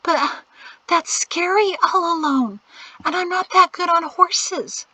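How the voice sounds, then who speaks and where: A woman voicing a lonely, tearful young woman who whimpers and pleads in a high voice. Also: unhurried, sharp tone, dry recording, exaggerated voice, low-quality recording. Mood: whimpering.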